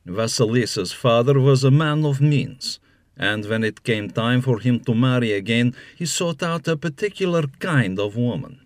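A man's voice: medium pitch, a little mellow, dry recording, clean studio sound. A man narrating a scripted fairy tale for children as a storyteller, with wry asides.

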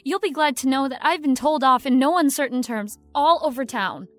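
A woman plays a young ambitious actress with a high voice. She speaks with earnest, overdramatic innocence over cool scheming, in radio play style, faint music underneath. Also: moderate pace, dry recording, natural voice. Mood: wounded. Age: young adult. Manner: earnest, self-dramatizing. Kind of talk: audio drama acting.

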